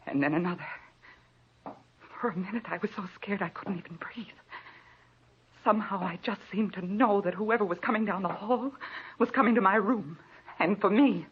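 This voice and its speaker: An actress playing a frightened, anxious wife writing in her notebook, with tremulous, halting delivery in a 1940s radio thriller style. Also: medium pitch, close to an everyday voice. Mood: scared, hushed. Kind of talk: 1940s radio thriller acting, narrated diary. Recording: muffled, noisy old radio broadcast recording.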